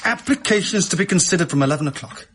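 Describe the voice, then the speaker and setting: Persuasive, crafty shop assistant. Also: male voice, high-pitched, expressive, fairly bright tone. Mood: urgent coaxing. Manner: coaxing, brisk, theatrical. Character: smooth-talking, persuasive, crafty pawnbroker's assistant. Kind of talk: British radio drama acting.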